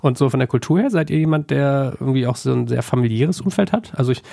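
A man's voice: medium pitch, quick pace, vocal fry, mellow. A man hosting an interview in a casual, probing, informal conversational style. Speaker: podcast interviewer, host.

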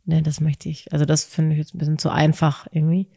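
A woman with a lowish voice, co-hosting a relaxed podcast chat. She answers hesitantly, pausing and searching for the right words. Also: vocal fry, husky. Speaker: podcast co-host. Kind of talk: casual conversation about relationships.

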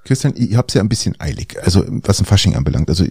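Middle-aged podcast co-host, stuffed up with a cold, gravelly and dry. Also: male voice, low-pitched, big pitch swings, rapid-fire, dark tone, no echo. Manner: rambling, hesitant with many fillers. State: congested with a cold. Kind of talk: casual chat.

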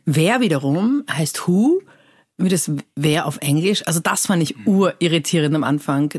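Low-voiced Persian-born woman, dry sound. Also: moderate pace, slightly rough, no room sound. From Iran. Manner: animated, lively storytelling with many fillers. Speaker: cabaret artist guest. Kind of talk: casual interview chat.

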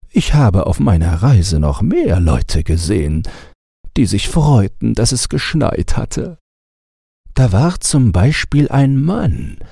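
Male audiobook reader for bedtime tales: slow, lively pitch movement, gentle storytelling. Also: slightly rough, no echo, studio quality. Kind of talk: slow bedtime fairy tale narration.

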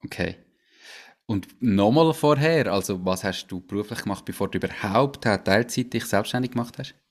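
A man's voice: on the low side, moderate pace. A man hosting a podcast, asking curious follow-up questions. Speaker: podcast host. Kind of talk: casual interview.

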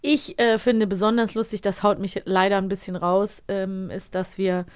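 A woman's voice: mid-range voice, level tone, normal speed, smooth. Casual, playful woman co-hosting a show. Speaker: magazine show co-host. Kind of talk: casual chat about audio dramas.

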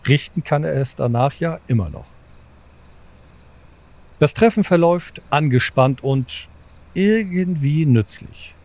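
Measured male audio drama narrator. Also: fairly high pitch, animated, darkish tone. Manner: measured, storytelling.

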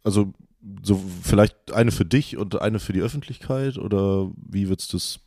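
A man's voice: lowish voice, normal speed, warm tone. A man co-hosting a casual podcast, asking questions in a laid-back, rambling way. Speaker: podcast co-host.

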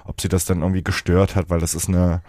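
A man's voice: low voice, little pitch movement, fast, mellow, no room sound. A man explaining design decisions in a casual discussion as a project developer, with a hesitant, searching delivery. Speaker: project developer and panel guest.

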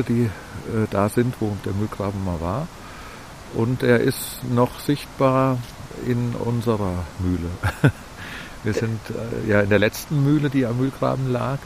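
Low, gravelly man explaining outdoors. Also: a little mellow, low-quality recording. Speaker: interviewee, music school director and local guide. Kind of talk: conversational explaining in an interview. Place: outdoors on a walk. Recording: outdoor field recording with faint hiss.

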